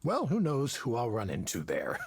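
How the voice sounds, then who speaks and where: Casting: self-assured older executive in a visual novel, suggestive and persuasive, with creaky, animated delivery. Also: male voice, medium pitch, normal speed, dry recording, heavily acted. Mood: suggestive, sly. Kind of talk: visual novel game voice acting.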